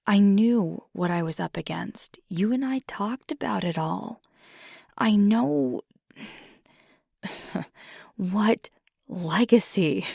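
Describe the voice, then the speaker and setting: Husky, creaky young werewolf girlfriend, acted by a woman. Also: mid-range voice, dry recording, clean studio sound, exaggerated voice. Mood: guarded, resigned, then earnest, resolved. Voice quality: husky, breathy. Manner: hesitant, soft-spoken, halting confiding. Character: fiery young female werewolf girlfriend, earnest, ambitious, vulnerable. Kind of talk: intimate ASMR roleplay monologue.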